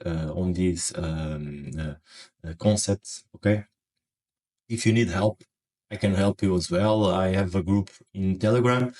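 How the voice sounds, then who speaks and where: Male trading coach, Portuguese accent, deep, level delivery, hesitant and filler-heavy. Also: a little mellow. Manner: halting, many fillers and restarts. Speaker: forex trading educator. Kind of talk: casual advice monologue.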